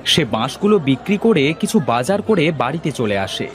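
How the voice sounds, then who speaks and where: A man with a fairly high, lively voice narrates a children's fairy tale from a script. Faint music plays behind him. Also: moderate pace.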